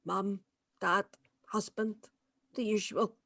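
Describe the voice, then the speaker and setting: A woman's voice: medium pitch, fairly even intonation, slow pace, sharp tone, no room sound, studio quality, full character voice. An actress playing a tough, pragmatic, wry woman accomplice, plain-spoken and firm, in a dramatic script read-through. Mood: quiet, wistful.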